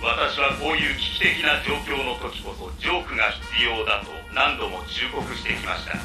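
A man playing a polite, talkative combat machine intelligence in an audio drama, formal, measured and flatly literal. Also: medium pitch, echoey room, over music, close to an everyday voice. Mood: dryly reproachful. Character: polite deadpan combat robot AI, talkative and insubordinate. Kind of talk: Japanese audio drama acting.

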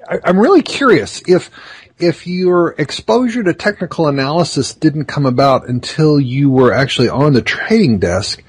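Husky-voiced male podcast interviewer. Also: a little high, no room sound. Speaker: podcast host. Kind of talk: interview questions.

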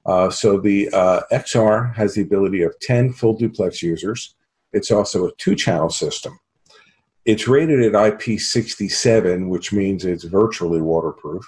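An American man in his fifties giving a halting product pitch as an interview guest on a video call from a home office. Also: darkish tone. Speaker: sales executive, interview guest. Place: small home office, indoors. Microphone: computer video call.

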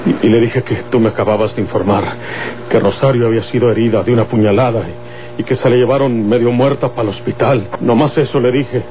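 A Mexican man playing a gruff, vengeful older rural boss, folksy and emphatic, in an old radio serial. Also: mid-range voice, fairly even intonation, fast, a little hiss or hum, close to an everyday voice. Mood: worried. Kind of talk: Mexican golden-age radio serial acting. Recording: tinny old radio recording, music behind.

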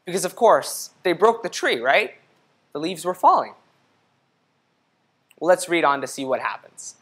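Young man reading slowly to children, lively intonation. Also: fairly high pitch. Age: young adult. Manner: clearly paced, addressing young listeners directly. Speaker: picture book reader for children. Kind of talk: slow read-aloud storytelling with questions to children. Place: indoor studio set. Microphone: lapel mic.

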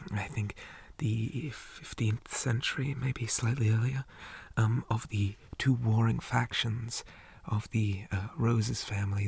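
A man playing a shy, old-fashioned zombie boy on a date in an ASMR roleplay, speaking softly and breathily, often near a whisper, with hesitant stammers and formal wording. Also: fairly low pitch, gravelly, slightly rough, no room sound, heavily acted. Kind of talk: ASMR roleplay, soft half-whispered talk.

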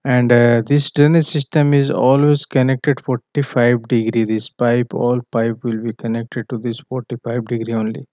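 A man tutoring on plumbing drawings, walking through a screen share in a halting way with many fillers and self-corrections. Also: medium pitch, level tone, darkish tone, no room sound. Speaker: MEP engineering tutor. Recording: dull, dry, lo-fi voice-over.

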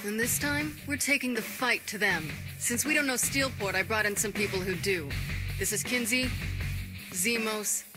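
A woman's voice: a little high, normal speed, lightly acted. Tough wry actress as female gang leader in a game, blunt talk, music behind. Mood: determined. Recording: loud music behind dialogue.

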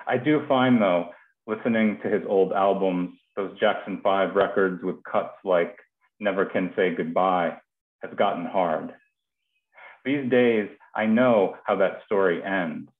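A low-voiced American man speaks as the poet host of a reading. His delivery is thoughtful and measured in tone. The sound is narrow and phone-like, with clear room echo from a kitchen video call. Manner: halting, thoughtful, repeats words. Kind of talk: informal hosting and poetry reading. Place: small domestic kitchen, indoors. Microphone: headset on a video call.

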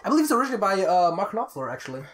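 A man's voice: high-pitched, very animated, racing, crowd noise. A young man co-hosting a podcast with dry, nitpicking casual banter in a small room. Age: young adult. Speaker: podcast co-host. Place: small attic room, indoors.

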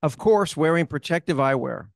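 Middle-aged man, lively up-and-down voice, jokey, handheld mic, dry sound. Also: a little high, normal speed, vocal fry, no room sound. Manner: jokey, animated, quips between scripted lines. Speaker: co-host. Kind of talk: scripted corporate town hall chat. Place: studio set in front of a screen.

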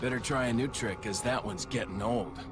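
An actor playing a cool, wry young government agent in a video game, dry and terse. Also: male voice, medium pitch, moderate pace, natural voice. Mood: determined. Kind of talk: video game cutscene acting. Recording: noisy game ambience behind.

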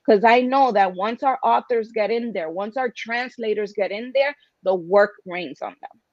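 A woman's voice: mid-range voice, normal speed, no echo. A middle-aged woman giving emphatic, passionate, conversational answers as an interview guest, often with rhetorical questions. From Dominican-American. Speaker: interview guest, writers' association founder. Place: indoors on a video call. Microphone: computer video-call microphone. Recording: dull, lo-fi video-call audio.

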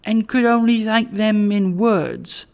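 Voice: medium pitch, slow, voice pushed up, lightly acted. A narrator voicing a humble, timid, poor old man in a storybook, pleading earnestly and deferentially.

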